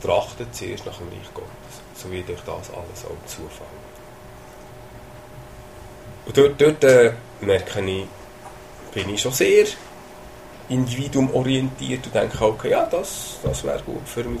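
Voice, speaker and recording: A man's voice: on the low side, lively intonation, a little slow, vocal fry, darkish tone, a little hiss or hum, poor audio. A man with a Swiss German accent preaching a sermon, talking in a conversational way with hesitations and sudden emphasis. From Switzerland.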